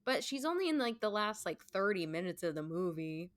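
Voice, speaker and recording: A woman's voice: mid-range voice, very animated, a little fast, no echo. A woman chatting casually and informally as a guest on a podcast. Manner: informal, conversational. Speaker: podcast guest, stage performer. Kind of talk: casual chat.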